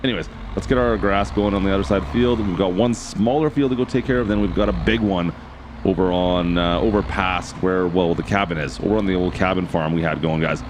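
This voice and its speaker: A man with a hoarse, raspy voice casually commenting as he plays a farming game, thinking aloud and talking to his viewers. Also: low-pitched, a little fast, noisy background. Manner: chatty, thinks aloud, addresses viewers as guys. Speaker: game channel host.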